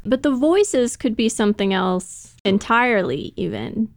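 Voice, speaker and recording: A woman's voice: on the high side, animated, a little slow, vocal fry, no echo, studio quality. A woman co-hosting a podcast, giving informative commentary in casual chat with light laughs.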